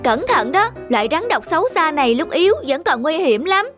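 A woman voicing wary, mean village boys in an animated fairy tale, excitable and childlike. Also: sits high, brisk, pitched above the performer's own voice. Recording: music under the voice.

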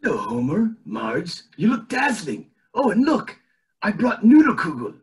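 A man's voice: sits high, huge pitch swings, a little slow, full character voice. A man playing a haughty, scheming old cartoon tycoon, pompous, in an amateur table read. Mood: falsely gracious. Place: home room, on a video call.

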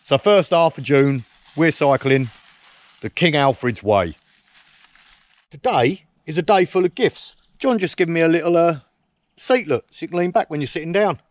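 A man's voice: a little high, animated, moderate pace. A man talks to a camera set up close by in the woods. He teases a friend and pokes fun at himself in a loose, casual way. The sound is dry, with no room echo. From England. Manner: cheeky, teasing banter with dry self-mockery. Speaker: camping vlogger. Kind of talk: casual outdoor chat to camera. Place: woodland camp outdoors. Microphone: camera mounted close on a tripod.

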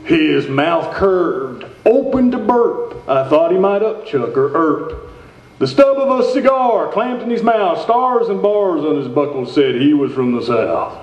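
A man's voice: on the high side, sing-song, a little slow. A man playing a folksy redneck storyteller and host, reading theatrically in a live stage radio show. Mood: storytelling. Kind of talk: live old-time radio show performance. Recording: roomy, dull stage recording.

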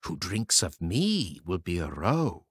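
A man voicing an enchanted forest brook in a storybook reading, chanting slowly and ominously. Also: deep voice, very animated, breathy edge, dry recording, clean studio sound, voice pushed down, full character voice.

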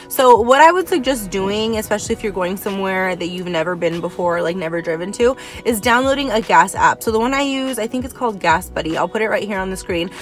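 Chatty young woman vlogging in a car. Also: medium pitch. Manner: chatty and upbeat, frequent "like" fillers. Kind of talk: casual vlog talk to camera. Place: parked car, indoors.

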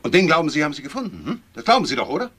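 A man's voice: a little high, very animated, racing, sharp tone, husky, heavily acted. A man playing an indignant, protective elder brother and company boss in a German crime radio drama.

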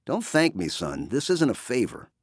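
A man's voice: mid-range voice, huge pitch swings, moderate pace, husky, no echo, clean studio sound, voice pushed up. A man voicing a stern, cautious female starship captain in a blunt military manner, for an audiobook. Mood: resigned command. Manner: firm, blunt, formal military.

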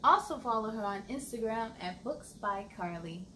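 A woman in her thirties reading aloud to children as a storytime host, with an encouraging, animated manner and simple phrasing. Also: on the high side, normal speed, slight background noise. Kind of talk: children's read-aloud, addressing young listeners.

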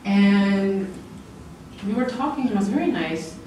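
A middle-aged woman giving an informal book talk, chatty and often laughing, lifting her pitch as she acts out quoted lines. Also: expressive, measured pace, warm tone. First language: English. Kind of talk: informal presentation. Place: lecture room, indoors. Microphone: far camera in the room. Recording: roomy, distant camera audio with noise.

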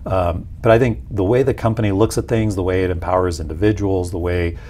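A man's voice: sits low, steady tone, a little fast, warm tone, a little hiss or hum. A man in his forties, a company executive explaining things conversationally in an interview. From Peru. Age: 40s. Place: small indoor office lounge. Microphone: lapel mic.

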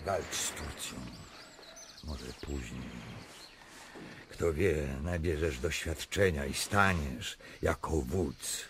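An actor playing an eloquent, grandiose king with deliberate, pompous delivery in a radio drama. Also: very deep voice, fairly bright tone, exaggerated voice. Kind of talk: theatrical radio drama acting. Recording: clearly noisy background ambience.

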